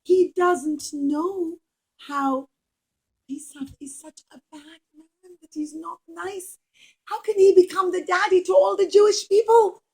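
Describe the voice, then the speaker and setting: A woman's voice: very high-pitched, steady tone, slow pace, darkish tone, pitched above the performer's own voice, heavily acted, rough recording. A woman voicing a worried, protective mother in a children's puppet show, urgent and emphatic as she reassures and urges her timid son. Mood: alarmed, dismayed.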